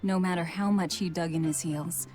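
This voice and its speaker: A woman's voice: lowish voice, fairly even intonation, normal speed, darkish tone, faint music behind, lightly acted. An actress playing a tough, guarded young woman in a video game, blunt and commanding with a dry manner. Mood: dry, wistful.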